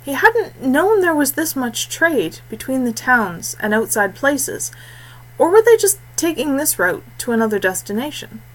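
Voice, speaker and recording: Woman reading a fantasy story, measured and expressive. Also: sits high, rough recording. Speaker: audiobook narrator. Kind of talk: scripted fantasy story narration.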